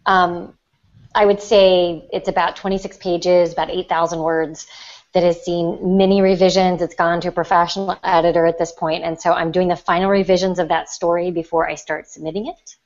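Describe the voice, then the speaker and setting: Female writer guest, slightly low register, thoughtful conversational delivery, muffled online-call recording. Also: little pitch movement, normal speed, darkish tone. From Ozarks, United States. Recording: dull lo-fi online call audio.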